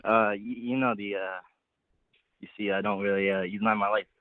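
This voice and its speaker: 19 y/o guy from canada calling in, halting and unsure, lowish voice, thin phone sound. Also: fairly even intonation, no room sound. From Canada, Montreal. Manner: hesitant, halting. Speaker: caller. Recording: dry, tinny phone line.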